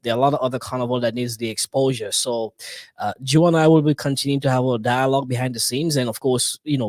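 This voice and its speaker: A man's voice: medium pitch, no room sound. A man hosting a live podcast, talking with frequent restarts and stammers. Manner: many restarts and fillers, stammers. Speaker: podcast host.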